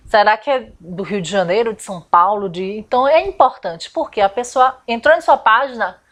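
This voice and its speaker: A woman's voice: medium pitch, fairly quick, fairly bright tone. A woman from Salvador, giving a chatty step-by-step lesson as a confectioner and business coach, often asking rhetorical questions. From Salvador, Brazil. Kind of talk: informal instructional video.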